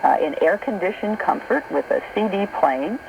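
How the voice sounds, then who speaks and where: A woman's voice: mid-range voice, very animated, moderate pace, vocal fry. A middle-aged American woman hosting a radio talk show, speaking in a chatty, informal, anecdotal way over a noisy shortwave recording. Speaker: radio host. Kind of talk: conversational late-night radio talk.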